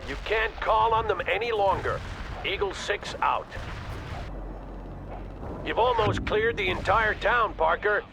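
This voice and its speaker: An actor playing a gruff, exasperated colonel giving curt orders by radio in a war video game. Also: male voice, high-pitched, lively intonation, a little slow, pauses often, heavily acted. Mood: stern, businesslike. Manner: curt, authoritative. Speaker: military commander. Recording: battle noise over the voice. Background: gunfire, artillery and vehicles.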